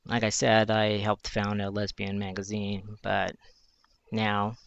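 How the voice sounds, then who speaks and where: A man in his forties with a fairly high voice for a man, speaking thoughtfully in a reflective personal monologue, pausing and restarting as he searches for words. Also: completely flat delivery, creaky, a bit bright, smooth, lo-fi sound. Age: 40s. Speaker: journalist.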